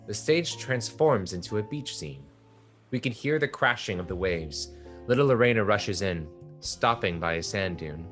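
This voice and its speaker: A man's voice: on the low side, animated, moderate pace, fairly bright tone, faint music behind. A man narrating stage directions from a script for a play reading.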